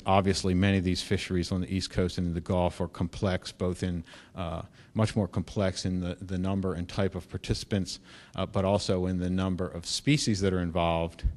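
Middle-aged man, keynote speaker, lowish voice, lots of ums and restarts, reverberant hall, podium mic. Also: darkish tone. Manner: halting, many fillers and restarts; explanatory, rising in energy on key points. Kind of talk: conference keynote lecture. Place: lecture hall.

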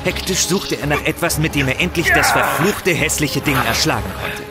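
Theatrical male fantasy narrator over loud music. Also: a little high, expressive, normal speed. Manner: theatrical, suspenseful storytelling. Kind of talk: dramatic scripted fantasy narration. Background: loud dramatic music.

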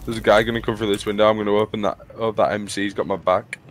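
A young man with a Yorkshire accent, swearing and laughing loudly as he chats while gaming. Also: lowish voice, little pitch movement, fast talker, slight background noise. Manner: loud, swearing, bursts of laughter. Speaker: gamer. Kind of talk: casual gaming chat.

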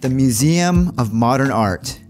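Vlogger, male, 30s, mid-pitched, chatty and informal, soft music under, small apartment room. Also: animated, a little slow. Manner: chatty, addresses viewers as "my friends". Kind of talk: casual weekly vlog chat. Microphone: handheld shotgun mic on boom cable.

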